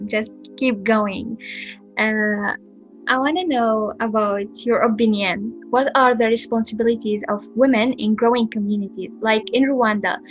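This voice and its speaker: A woman's voice: on the high side, muffled recording. A woman hosting a podcast interview, asking questions and encouraging her guest. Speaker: podcast host. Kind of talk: casual interview.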